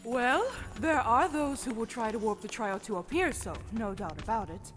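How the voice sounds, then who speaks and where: A woman's voice: a little high, normal speed, soft background music, close to an everyday voice. A woman playing an eccentric army major in an audio drama, teasing and sing-song with a steely side. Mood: teasing, breezy. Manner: sing-song, mock-polite, theatrical.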